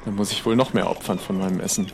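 A man's voice: low-pitched, steady tone, quick pace, very noisy. A man role-playing casually in an improvised adventure game, tentatively thinking aloud as a player.

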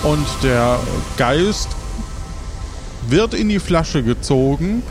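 A man's voice: on the high side, lively intonation, very slow, over music. A man narrating an audio role-playing game as game master, telling it suspensefully.

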